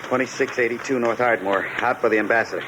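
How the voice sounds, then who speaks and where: Man playing a hurried, uneasy newspaper reporter. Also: mid-range voice, fairly even intonation, lightly acted. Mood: urgent. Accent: American. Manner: hurried, plain-spoken. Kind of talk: 1950s radio drama acting. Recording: very noisy 1950s radio recording.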